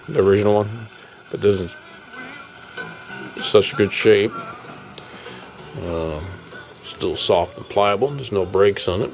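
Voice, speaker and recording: A man's voice: low voice, a little mellow, a little hiss or hum, rough recording. A man giving a casual, unscripted demonstration as a hobbyist, musing and plain-spoken with hesitations. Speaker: radio restorer presenting his work; college-trained audio engineer. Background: tube radio playing at times.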